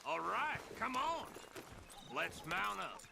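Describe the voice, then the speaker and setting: High-pitched, gravelly, hot-headed American outlaw. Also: male voice, big pitch swings, takes it slowly, noisy background, full character voice. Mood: eager, bossy. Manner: blustering, easily offended. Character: hot-headed, bossy ex-army Old West outlaw man. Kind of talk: video game western acting.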